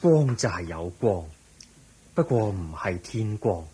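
Calm patient Buddha, Hong Kong Cantonese accent, radio drama, low and instructive, expressive intonation. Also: male voice, a little hiss or hum. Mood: gently reassuring. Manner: measured, gently instructive. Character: Buddha, calm, patient, kindly male teacher.

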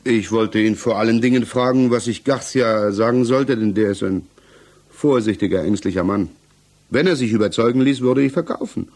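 An actor playing a troubled, defensive suspect under interrogation in a German radio play, justifying himself haltingly. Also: male voice, mid-range voice, darkish tone, lightly acted. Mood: troubled, explaining.

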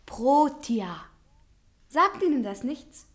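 Young schemer, high pitch, poised, coaxing, persuasive, radio play. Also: female voice, very animated, slow pace, exaggerated voice. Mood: teasing, coaxing. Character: clever, persuasive young woman, cool scheming go-between. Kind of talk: German radio play acting.

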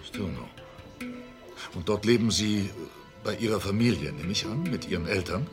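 An actor playing a brisk, formal school director in a German radio drama, speaking in a clipped, authoritative way. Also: male voice, lowish voice, animated, faint music behind. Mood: probing, curious. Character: Walter Gropius, brisk formal Bauhaus director, softening to curiosity.

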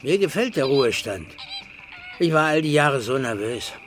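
An actor playing a fussy, methodical retired explosives expert in a German crime radio drama, speaking calmly and precisely. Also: male voice, mid-range voice, moderate pace, faint music behind, natural voice. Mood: calm, informative.

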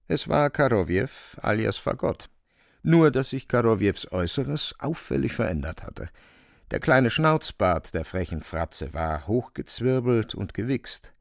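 A man narrating a literary radio drama in a creaky voice, deliberately, phrase by phrase. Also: lowish voice, no echo, clean studio sound, exaggerated voice. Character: measured literary narrator of a dark fantastic tale.

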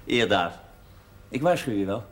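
An actor playing a brisk, businesslike husband in a 1970s television drama. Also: male voice, on the low side, expressive. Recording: noisy 1970s television soundtrack.

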